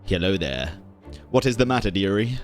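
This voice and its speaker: Male streamer, casual profane game reaction, lively pitch, game music under. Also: normal speed. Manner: joking, profane, chatty.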